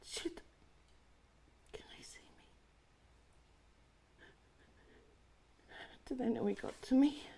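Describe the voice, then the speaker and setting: A woman's voice: sits high, normal speed, vocal fry, dark tone, breathy edge, whispered, exaggerated voice. An actress in her forties playing a profane, panicky woman who scolds herself and talks herself through her fear, short of breath. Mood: panicked. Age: 40s. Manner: swears heavily, talks to herself. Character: frazzled forty-year-old woman, profane, self-scolding. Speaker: adult content creator. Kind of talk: dramatic monologue.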